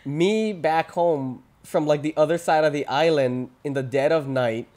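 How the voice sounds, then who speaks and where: A man's voice: fairly high pitch, a little slow, a little mellow. A man as a podcast guest, chatting in an easygoing way and laughing often. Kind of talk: casual chat.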